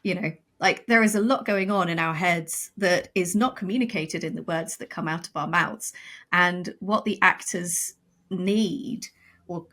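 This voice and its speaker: A woman guest on a casual podcast makes her points firmly and clearly, in a mid-pitched voice. She sounds articulate and sure of her views. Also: fairly bright tone. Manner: firm, emphatic and articulate. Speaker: podcast guest, audio drama writer. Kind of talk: casual conversational interview.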